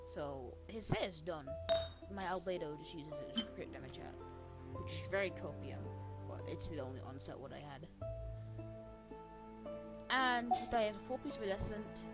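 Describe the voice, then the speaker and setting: A woman's voice: fairly low pitch, lively intonation, moderate pace, long pauses. A young woman giving casual gameplay commentary, slangy and rambling as she thinks aloud. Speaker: gaming video creator. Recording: loud game music behind voice.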